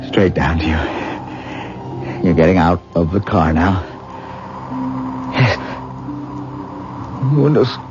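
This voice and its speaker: Obsessive lovesick thespian, mid-pitched and melodramatic, halting repeated phrases, vintage radio drama with music behind. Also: male voice, dull audio. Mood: weak, urgent. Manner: halting, repetitive, theatrical delivery. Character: vain lovesick stage actor, feverish, obsessive, melodramatic. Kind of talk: 1970s radio drama acting.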